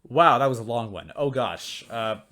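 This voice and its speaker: A man's voice: mid-range voice, animated, moderate pace, sharp tone. A man reading a story aloud as an amateur host, with casual, self-deprecating asides.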